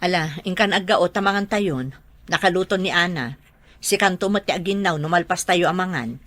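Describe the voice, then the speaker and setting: A middle-aged mother played by a woman in a radio drama, with a slightly low voice. She talks in a matter-of-fact way with an Ilocano accent from the Philippines. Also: moderate pace, a bit bright, natural voice. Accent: Filipino (Ilocano).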